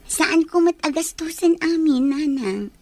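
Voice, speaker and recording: A woman's voice: high-pitched, expressive, measured pace, dark tone. A woman acting as an earnest, caring young working daughter in an Ilocano audio drama. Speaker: drama actress. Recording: clear background noise and ambience.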